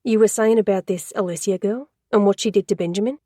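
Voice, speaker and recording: Woman doing an Australian man asking calm questions. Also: medium pitch, fast talker, no echo, studio quality, lightly acted. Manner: calm, gently probing questions. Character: patient male investigator with an Australian accent.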